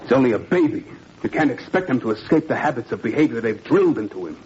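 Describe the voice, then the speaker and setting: A man's voice: mid-range voice, very animated, fast, a little mellow, lightly acted. An actor playing an anguished but defiant father in a 1940s radio drama, earnest and weary. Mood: anguished, defeated. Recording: muffled, hissy 1940s radio recording.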